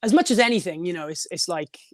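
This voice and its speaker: A young man with a high voice, a band singer talking in a casual podcast interview, rambling with many restarts and little laughs. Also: expressive, fast talker, dry recording, studio quality. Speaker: guest, band frontman and vocalist.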